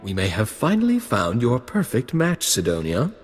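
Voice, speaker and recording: A man's voice: medium pitch, wildly swooping pitch, moderate pace. An actor playing a teasing, sardonic young nobleman in a video game, talking in a theatrical, wordy way. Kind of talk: video game cutscene acting. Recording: long hall reverb, faint music.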